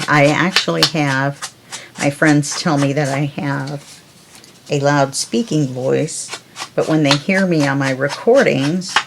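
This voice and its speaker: Calm low-voiced woman crafting. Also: gravelly, background noise. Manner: calm, unhurried, talks while working. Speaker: crafting video host. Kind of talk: casual crafting tutorial chat.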